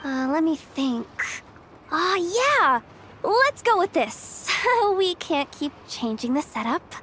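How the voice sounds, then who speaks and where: A woman's voice: high voice, big pitch swings, slow pace, fairly bright tone, a little hiss or hum, heavily acted. A woman playing a frazzled, overwhelmed young expo organizer in a video game, flustered, hesitant and dramatic.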